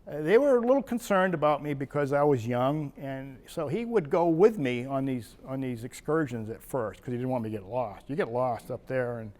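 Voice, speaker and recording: Older man, casual reminiscing, rambling, chuckles often, middle pitch. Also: lively intonation, moderate pace, few pauses, darkish tone. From Maine, USA. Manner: rambling, chuckling storyteller with frequent restarts. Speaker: retired wildlife biologist. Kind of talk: reminiscing personal story.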